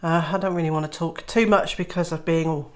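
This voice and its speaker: A woman's voice: deep voice, steady tone, fairly quick. A woman vlogging casually about her homestead while she has a cold, sounding nasal and throaty, with lots of filler sounds. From Wales. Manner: chatty, many uh fillers. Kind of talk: casual vlog to camera.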